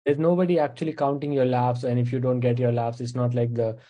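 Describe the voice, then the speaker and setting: A man's voice: mid-range voice, fast, muffled recording. A young man from India with an Indian English accent, a stand-up comedian explaining his craft in a casual interview, step by step with many fillers. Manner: explains in steady steps, with frequent uh fillers.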